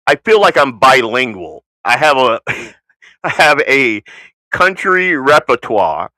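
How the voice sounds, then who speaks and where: Bright-voiced American man hosting talk radio. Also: medium pitch, big pitch swings, slow, no echo. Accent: American, flattened with Southern roots. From United States. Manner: opinionated, blunt, folksy, rambling asides. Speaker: talk show host. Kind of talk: unscripted political talk show monologue.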